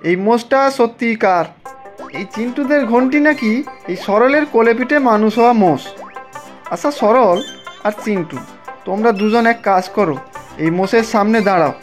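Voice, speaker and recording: A woman's voice: a little high, lively intonation, normal speed, soft background music, close to an everyday voice. A woman voicing a humble, folksy village tea-seller uncle in a cartoon, given to exclamations. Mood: anxious, resolving. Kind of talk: animated cartoon dialogue.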